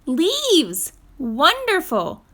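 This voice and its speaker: Woman doing a storybook squirrel, high voice, cheerful, self-serving, breezy, exclamatory. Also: huge pitch swings, very slow, sharp tone, exaggerated voice. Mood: delighted.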